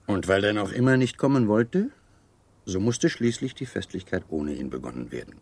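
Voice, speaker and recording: An actor in a German radio play playing a gallant, teasing seducer who tells stories in a suave, theatrical way. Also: male voice, fairly low pitch, expressive, moderate pace, darkish tone, slightly rough, no room sound. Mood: suave, dramatic storytelling. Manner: theatrical, suave, savouring storytelling.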